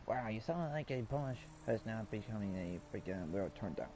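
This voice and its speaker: A man's voice: on the low side, animated, moderate pace, background noise. A man casually commenting while playing a game and rambling to himself. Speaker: gamer. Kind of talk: casual let's-play commentary. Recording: clear game music behind voice.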